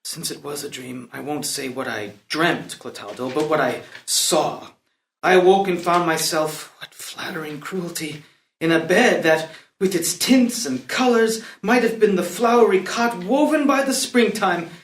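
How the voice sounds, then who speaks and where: A man's voice: a little high, unhurried, slight background noise. A man playing a brooding young captive prince in a play reading, declaiming poetic lines with dazed, vengeful intensity. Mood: dazed wonder. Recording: roomy, echoey live room.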